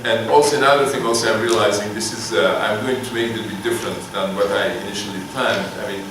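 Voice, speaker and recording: A middle-aged man gives a guest lecture, pausing often with fillers and self-deprecating asides. His voice sits low and reaches the mic from a distance. The big hall echoes heavily, with a clear room hum behind him. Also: fairly even intonation. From Turkey. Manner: halting, filler-heavy, self-deprecating humor. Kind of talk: informal university lecture. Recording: echoey hall heard from afar.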